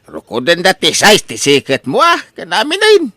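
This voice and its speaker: A man's voice: sits high, wildly swooping pitch, normal speed, bright, forceful tone, dry recording, heavily acted. A man with a Filipino accent playing a stubborn, blustering old father in a comedy radio drama, full of exclamations. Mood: cheerful, bossy. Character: excitable, stubborn old father smitten with a young bride.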